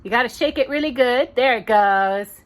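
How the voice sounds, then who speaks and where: A woman telling a children's story in an expressive, playful way, with casual asides. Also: on the high side, normal speed.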